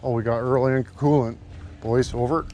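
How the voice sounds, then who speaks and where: A Canadian man bantering casually and profanely in a joking sales-pitch way, as a vlog host. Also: steady tone, moderate pace, heavy background noise. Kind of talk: casual banter, walkie-talkie chatter.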